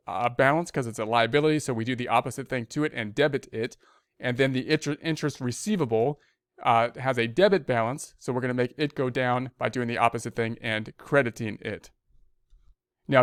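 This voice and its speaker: A man's voice: medium pitch, smooth, dry recording, clean studio sound. A man giving an explanatory, loosely scripted lecture as an instructor, repeating and rephrasing as he goes step by step. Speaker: accounting instructor.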